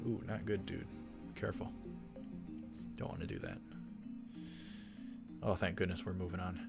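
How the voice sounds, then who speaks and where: A man's voice: low voice, creaky. A young man hosting a let's play, reading visual novel text aloud and adding casual commentary. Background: game music.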